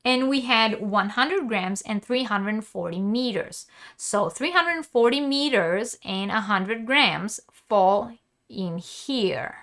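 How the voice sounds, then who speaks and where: Woman, Hebrew speaker, slow steady delivery, teaching yarn basics, reads viewer chat. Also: mid-range voice. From Israel. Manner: explanatory, many ums and uhs. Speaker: crochet teacher hosting a live stream. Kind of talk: live-stream tutorial, reading chat.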